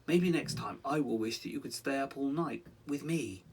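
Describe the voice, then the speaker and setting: A man voicing a gentle, sorrowful male hedgehog in a bedtime story, speaking softly and low. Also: animated, moderate pace, husky. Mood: hopeful. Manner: soft and low.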